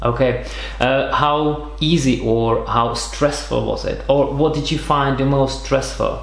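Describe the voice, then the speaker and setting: A man's voice: mid-range voice, steady tone, a little slow, creaky, echoey room. A man hosting an interview podcast, asking questions in a casual way with many fillers. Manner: friendly prompting with many fillers. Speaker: podcast host.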